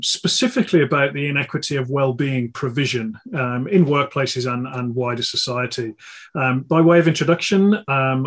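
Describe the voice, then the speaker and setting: A man with a Birmingham accent, croaky from a cold, hosting a live fireside chat in an upbeat, earnest way with frequent ums. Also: medium pitch. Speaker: interviewer and mental health campaigner.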